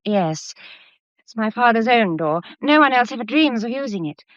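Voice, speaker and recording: A woman's voice: a little high, lively intonation, normal speed, no room sound, highs cut off, close to an everyday voice. A woman playing an anxious, polite young upper-class English granddaughter in a period audio drama, earnest and emotional. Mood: guarded, polite. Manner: earnest, emotional, well-mannered.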